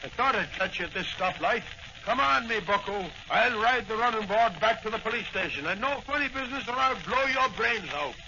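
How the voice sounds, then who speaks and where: Blustering Irish patrolman, high-pitched, self-important, old radio drama, dull noisy recording. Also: lively intonation, a little fast, creaky. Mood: triumphant. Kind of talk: 1940s radio acting.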